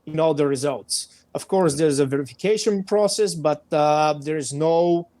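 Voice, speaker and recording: A man with a Bulgarian accent, his voice pitched a little high for a man, speaking on a podcast as a guest instructor. He pauses a lot with "uh" while he searches for words. The sound is dry and close. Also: dark tone, dry recording. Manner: many hesitations, frequent "uh". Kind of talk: podcast interview.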